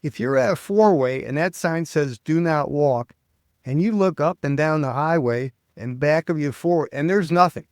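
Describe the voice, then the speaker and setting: An older American man, a public speaking coach, chatting as an interview guest and telling stories with lively quoted voices. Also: a little high, moderate pace, clear, resonant tone, no room sound, clean studio sound. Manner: animated storyteller, acts out quoted voices. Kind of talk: casual interview chat.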